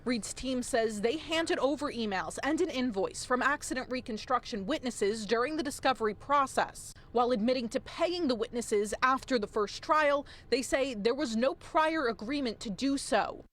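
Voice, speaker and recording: A woman's voice: high voice, expressive, moderate pace, fairly bright tone. A woman reporting for television news in a scripted reporter's delivery.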